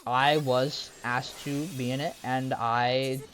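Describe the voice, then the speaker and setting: A woman's voice: very deep voice, flat delivery, slow, faint music behind. A young woman chatting casually over gameplay, rambling and self-deprecating, with lots of fillers and restarts. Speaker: gaming streamer.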